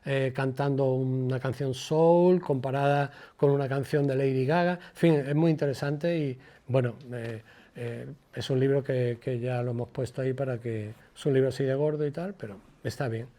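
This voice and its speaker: An older man with a Spanish accent from Spain gives an informal lecture, colloquial and digressive, halting and repeating words as he goes. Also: mid-range voice, steady tone, warm tone. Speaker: guest lecturer, former radio presenter. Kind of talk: informal public lecture with personal anecdotes.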